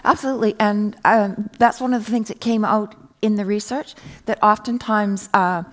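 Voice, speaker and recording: Woman, 50s, research panelist; halting, filler-heavy, mid-pitched delivery. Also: steady tone. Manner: hesitant, many fillers and restarts. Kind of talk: panel discussion. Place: indoor conference stage. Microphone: headset mic.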